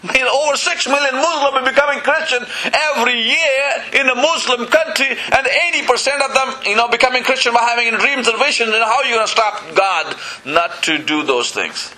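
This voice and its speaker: A man's voice: very high voice, a little fast, hardly any pauses, pushed and bright, poor audio. A man from Pakistan, preaching a sermon in church, earnest and full of "you know" and calls of "Amen?". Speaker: pastor.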